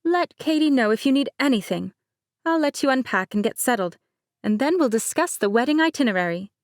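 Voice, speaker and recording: A woman's voice: sits high, lively intonation, fairly quick, no room sound, studio quality, pitched above the performer's own voice. A woman voicing a bubbly, well-off young bride who teases and gushes. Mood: teasing. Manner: gushing, teasing, bright.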